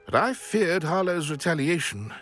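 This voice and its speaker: Florid, mournful widower, swooping intonation. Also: male voice, on the high side, big pitch swings, moderate pace, a bit bright, faint music behind. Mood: regretful. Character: shaken, mournful widower, refined and fearful gentleman. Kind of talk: video game voice acting, scripted dialogue.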